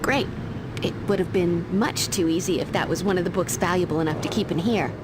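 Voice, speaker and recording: An American actress playing a quippy, plucky young vampire slayer in a video game, breezy and flippant. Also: female voice, fairly low pitch, brisk, very noisy, lightly acted. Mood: wry, flippant. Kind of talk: video game cutscene acting.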